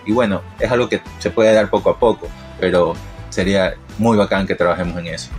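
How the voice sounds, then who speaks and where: Low-voiced Ecuadorian man, defiant and earnest. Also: fairly even intonation, fairly quick, soft background music. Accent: Ecuadorian coastal Spanish. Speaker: DJ and music producer. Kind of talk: recorded greeting and testimony.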